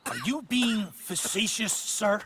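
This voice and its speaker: A man playing a pompous, hot-tempered older army colonel in a video game, gravelly, with haughty formal speech. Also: high voice, slow, noisy background. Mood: indignant. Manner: haughty, formal, clipped rhetorical questions. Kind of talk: video game cutscene acting.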